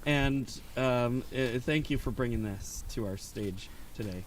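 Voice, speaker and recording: A man's voice: medium pitch, a little slow, recorded from a distance, a crowd in the background, low-quality recording. A man on a jury panel, speaking hesitantly and kindly with many fillers. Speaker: jury member.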